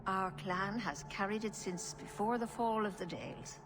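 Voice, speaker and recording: An actress playing a calm, stern yet caring older elven clan leader in a fantasy game, speaking formally. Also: female voice, mid-range voice, background noise, close to an everyday voice. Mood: grave, measured. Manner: measured, formal. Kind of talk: fantasy video game voice acting.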